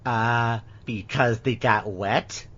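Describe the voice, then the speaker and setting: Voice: medium pitch, steady tone, slow pace, fairly bright tone, voice pushed down. A performer plays a young woman on the witness stand, sullen and defensive. The delivery is curt and sulky, in radio play style. The sound is a bit muffled and lo-fi. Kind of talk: amateur audio drama courtroom acting. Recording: dull lo-fi audio.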